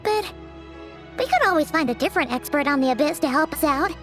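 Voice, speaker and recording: A woman voicing an excitable, chatty little fairy companion in a game who refers to herself in the third person. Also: sits high, brisk. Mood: thoughtful. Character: tiny floating female fairy companion, chirpy. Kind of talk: game dialogue. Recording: game audio with music behind.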